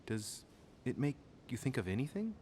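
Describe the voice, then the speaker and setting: An actor playing a polite, earnest young gentleman in a video game, speaking in a formal, courteous way. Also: mid-range voice, sing-song, normal speed, a little hiss or hum, natural voice. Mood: probing. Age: young adult. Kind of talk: dramatic game dialogue.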